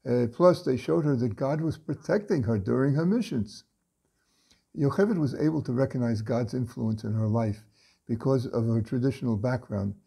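An older man reading a story aloud from his home study into a webcam, unhurried, with frequent pauses and restarts. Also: mid-range voice, gravelly, warm tone, husky. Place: home study lined with bookshelves.

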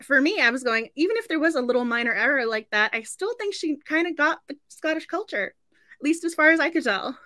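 Bright, high-voiced gushing woman. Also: fast talker, no room sound, clean studio sound. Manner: gushing, animated, giggly. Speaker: book club host. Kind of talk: casual book discussion on a livestream. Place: indoor room at home.

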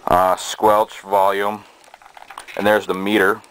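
A man with a fairly low voice talks casually, as if showing items to viewers at home. His delivery is halting and hesitant. It is an amateur indoor camera recording with noticeable background noise. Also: little pitch movement, fairly bright tone, poor audio. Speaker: hobbyist presenter. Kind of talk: casual show-and-tell of collected gadgets. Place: small cluttered bedroom indoors. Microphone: handheld camera's built-in microphone.

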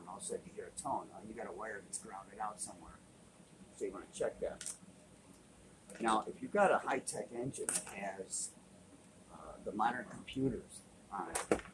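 A man's voice: lowish voice, noisy background. Plain-spoken man explaining boat tools. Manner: plain-spoken, practical, with frequent uh and um. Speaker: sailing channel host. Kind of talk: casual how-to explanation. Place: cluttered indoor workshop.

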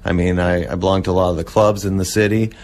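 A man's voice: sits low, flat delivery, quick pace, darkish tone. A man in his forties chatting casually as an interview guest, reminiscing in rambling, loosely strung sentences. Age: 40s. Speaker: interview guest, old-time radio collector.

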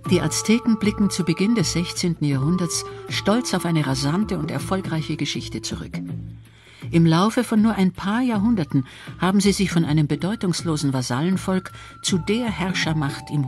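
A man's voice: a little high, lively intonation, moderate pace, over music. A man narrating a documentary from a script as its narrator.

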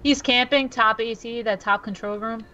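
A woman's voice: fairly high pitch, fairly even intonation, moderate pace, bright, forceful tone, highs cut off. Upbeat woman calling out teammates. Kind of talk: team callouts during a game.

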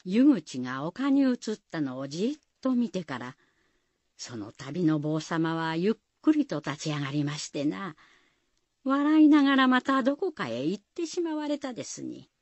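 A woman's voice: fairly low pitch, very animated, normal speed, no echo. A woman narrating a radio folktale as a storyteller, in folksy rustic dialect, addressing listeners directly.

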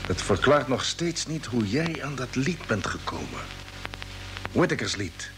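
An actor playing an earnest, troubled space commander, pressing urgently and emphatically, in old Dutch radio drama style. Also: male voice, mid-range voice, very animated, a little slow, music in the background. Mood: insistent. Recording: noisy vintage radio play recording.

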